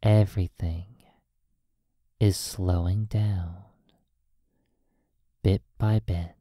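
An ASMR hypnosis roleplay by a sleep therapist speaking in a hushed, soothing manner, in drawn-out phrases with long pauses. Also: sits low, fairly even intonation, no echo, clean studio sound.

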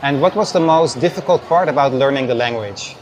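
A man speaking at a mid pitch puts short questions to a guest at a quick clip. He reacts briefly to each answer. He is off-camera in a large indoor mall. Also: a crowd in the background, low-quality recording. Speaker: interviewer, channel host. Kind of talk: street interview questions.

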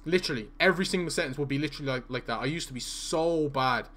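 A young Irish man streams from a small room at home, talking casually to his viewers as he plays. His voice sits a little high for a man, and he answers chat messages in a loose, rambling way. Now and then he trips over a word with a stutter. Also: very fast, fairly bright tone. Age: young adult. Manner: chatty, reads chat aloud, stutters at times. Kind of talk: casual live-stream chat while playing.

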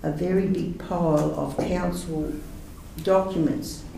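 A man's voice: on the high side, fairly even intonation, slow pace, creaky, mellow, slight background noise. A man giving a rambling, earnest councillor's report at a council meeting. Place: large council meeting room. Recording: echoey meeting room from far off.

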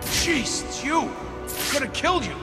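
Aggressive male goon, very high, panicky shouts, game, reverberant hall. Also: lively intonation, measured pace. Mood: panicky, taunting. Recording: long hall reverb, music behind.

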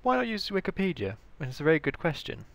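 A man's voice: a little high, very animated, fast, vocal fry. A British man giving scripted instructional narration as the presenter of a training tutorial. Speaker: information librarian, tutorial presenter.

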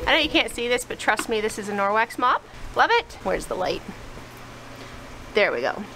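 A woman's voice: on the high side, very animated, bright, forceful tone, faint music behind, poor audio. A woman in her thirties vlogging as she cleans a small church, talking candidly and a little hurriedly into a handheld camera. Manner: chatty, candid, a bit hurried. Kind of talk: casual vlog narration while cleaning. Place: small country church, indoors and on the porch. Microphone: handheld camera at arm's length.

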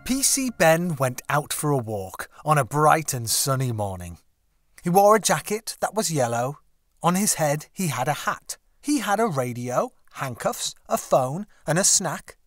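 A man narrating a children's story as an audiobook reader, with expressive, deliberate phrasing. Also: on the high side, no room sound, clean studio sound.

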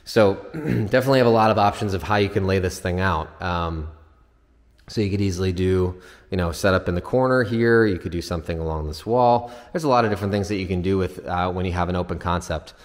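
Low-voiced man in an echoey empty house. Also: a little fast. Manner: informal, explanatory. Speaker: home builder's representative giving a house tour. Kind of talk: casual unscripted walkthrough narration. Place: empty new house, indoors. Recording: echoey empty house interior, long reverb.

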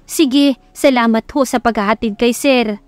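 A woman's voice: on the high side, normal speed, clean tone, no echo, natural voice. A woman with a Filipino accent playing a caring, fretful and respectful household maid in an audiobook story. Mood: concerned. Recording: background music under voice.

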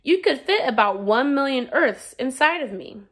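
Woman reading as a boastful, high-pitched storybook Sun. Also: expressive, moderate pace, fairly bright tone, husky, exaggerated voice. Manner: bragging, showy. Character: boastful, playful, self-important talking Sun from a picture book. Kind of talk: children's storybook read-aloud.

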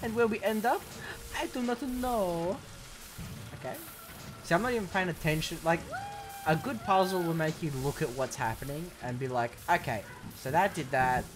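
A young man commentating on a video game in a sarcastic, sweary way, with sudden excited outbursts. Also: on the high side, moderate pace, music in the background. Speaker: gaming commentator. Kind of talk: let's play commentary.

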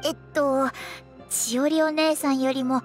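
A woman's voice: high-pitched, steady tone, unhurried, loud music behind the voice. An actress voicing a sweet, earnest, worrying young cat-girl courier in an anime-style game, with a cute girlish delivery. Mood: worried, pleading.

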